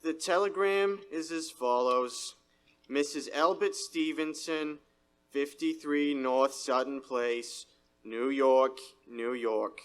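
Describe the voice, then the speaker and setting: A man's voice: medium pitch, fairly even intonation, takes it slowly, a bit bright, smooth. A man playing a formal, businesslike telegram clerk in a live 1930s-style radio drama, reciting methodically. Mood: impassive recitation.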